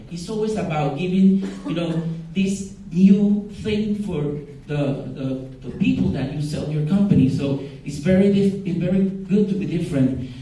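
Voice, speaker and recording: A man in his forties from Costa Rica with a Costa Rican Spanish accent, giving an informal, halting talk about his own story as a startup founder. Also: sits high, dark tone. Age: 40s. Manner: halting, repeats words for emphasis. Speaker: startup founder speaking at a summit. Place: event room at a startup summit. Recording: very echoey room, far from the mic.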